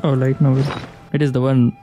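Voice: medium pitch, little pitch movement, a little fast, warm tone. A game streamer giving casual, informal commentary with frequent giggly reactions. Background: game music.

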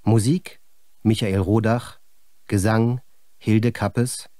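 A man's voice: fairly low pitch, steady tone, slow pace, dark tone, no room sound. A man reading scripted cast and production credits for a radio play as the announcer.

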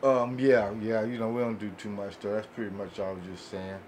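Halting American man in casual chat. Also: on the low side, level tone, darkish tone. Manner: halting, repeats phrases as he goes. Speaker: podcast panelist. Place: indoor podcast table. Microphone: studio microphone. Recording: noticeable background noise, room ambience.

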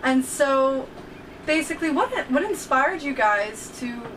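A young woman interviews her guests in an upbeat, chatty way, her voice on the higher side. She is picked up from across a small boat cabin, distant, with clear background noise. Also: animated, measured pace. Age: young adult. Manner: friendly, enthusiastic, lots of "like". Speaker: vlog host interviewing. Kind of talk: casual interview. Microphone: camera across the table. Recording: distant camera mic with noticeable noise.

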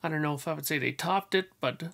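Hoarse man, throat-clearing, gravelly voice. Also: on the high side, fast, sharp tone. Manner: enthusiastic, frequent throat clearing. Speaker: record collector vlogger. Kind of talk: casual record collection walkthrough. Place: small home music room, indoors. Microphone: headphones on, webcam or desk mic.

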